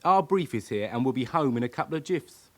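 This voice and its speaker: An actor playing a cocky, defiant young criminal with a London accent and slangy, aggrieved manner in a radio crime drama. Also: male voice, medium pitch, lively intonation, brisk, lightly acted. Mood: menacing, sneering.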